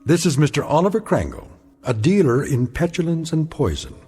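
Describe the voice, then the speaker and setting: An actor playing a grave, ominous narrator of eerie tales, giving measured, portentous radio drama narration. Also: male voice, on the high side, very animated, over loud music.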